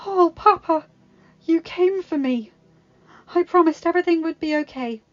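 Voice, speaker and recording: A woman doing a passionate, high-voiced young heroine. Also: normal speed, lightly acted. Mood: tearful. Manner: high, pleading and impassioned. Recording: dull lo-fi sound with music.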